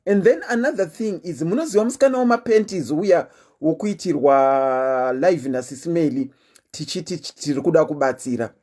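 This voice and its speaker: A woman with a Zimbabwean accent, hosting her show in a chatty, casual monologue, telling listeners her news with lots of "eh" fillers. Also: on the low side. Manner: animated, chatty storytelling with frequent "eh" fillers. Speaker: host.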